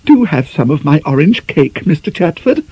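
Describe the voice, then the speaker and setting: Man as a fluttery, high-voiced lady hostess. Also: sing-song, moderate pace, a little mellow, breathy edge. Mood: fussing, coaxing. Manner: coaxing, fluttery. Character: kindly, fussing lady hostess pressing food on guests.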